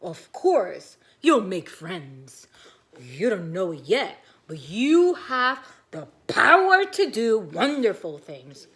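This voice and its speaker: A woman voicing Daddy Smudge, a gentle father ink smudge in a children's story, speaking lower and louder with reassurance. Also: big pitch swings, slow pace. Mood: reassuring. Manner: low, loud and reassuring.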